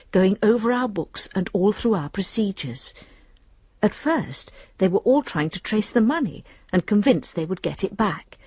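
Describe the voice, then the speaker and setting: An actress playing a discreet, polite bank lending adviser, reading a formal, confiding letter aloud in a radio drama. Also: female voice, fairly low pitch, darkish tone, slightly rough, no room sound, muffled recording.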